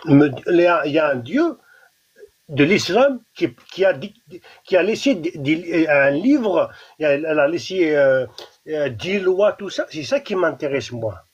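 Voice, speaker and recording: A man's voice: a little high, expressive, unhurried, husky, muffled recording. A man from Algeria with a Kabyle accent, whose first language is Kabyle, arguing insistently in a live debate and often restarting his words. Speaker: debate guest.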